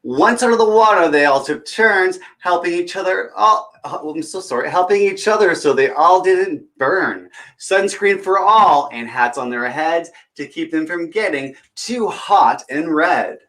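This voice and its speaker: A man's voice: high-pitched, animated, a little slow, hardly any pauses, fairly bright tone. A man reading a picture book aloud as a children's story time host, adding chatty, encouraging asides to young listeners.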